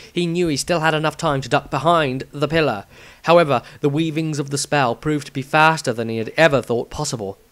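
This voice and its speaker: A man's voice: a little high, fairly bright tone. A man reading a scripted fantasy story aloud as narrator, in a dramatic storytelling manner. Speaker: author reading own story.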